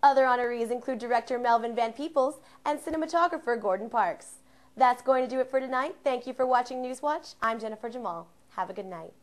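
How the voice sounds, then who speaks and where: A woman's voice: fairly high pitch, fairly quick. A young American woman reporting scripted TV news in a formal, enunciated newscaster delivery. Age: young adult.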